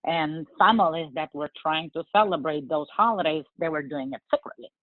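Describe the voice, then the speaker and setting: A woman in her forties from Bulgaria, speaking English with a Bulgarian accent, answering interview questions in an earnest, measured way with frequent fillers. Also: low voice, no echo. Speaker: guest. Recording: tinny phone-like line.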